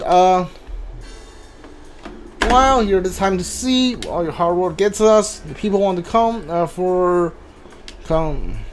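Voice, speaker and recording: Slow, halting male game streamer. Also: high voice, darkish tone. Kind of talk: casual playthrough commentary, reading on-screen text aloud. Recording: game music behind the voice. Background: video game music.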